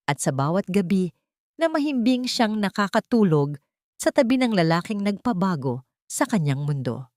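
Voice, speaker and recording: A woman narrating a sentimental story from a script, in a measured, earnest, literary read-aloud manner. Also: fairly low pitch, a little mellow, no room sound, studio quality.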